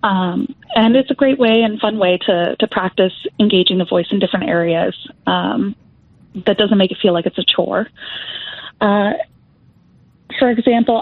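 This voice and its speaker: A woman's voice: mid-range voice, no echo. Young woman music therapist chatting, lots of ums, tinny phone-ish sound. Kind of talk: interview guest, casual explanation.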